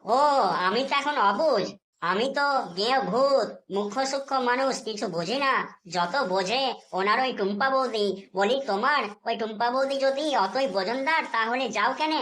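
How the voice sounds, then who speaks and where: Nagging wife for cartoon comedy, female performer: high voice, scolding, sarcastic, suspicious, always offended. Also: normal speed, a bit bright, close to an everyday voice. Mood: sarcastic, aggrieved. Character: nagging, suspicious, quick-to-take-offence Bengali housewife.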